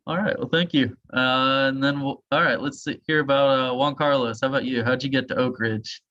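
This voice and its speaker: A man's voice: mid-range voice, fairly even intonation, a little fast, bright, forceful tone. A man hosting an informal webinar over a video call, speaking casually with hesitant phrasing. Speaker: host and moderator. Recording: dull, muffled video-call audio.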